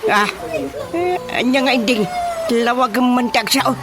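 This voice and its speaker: A woman's voice: a little high, lively intonation, normal speed, a crowd in the background, lightly acted. A woman playing a flustered, stammering village chairperson in a radio comedy drama, with an Ilocano accent. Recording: music behind the voice.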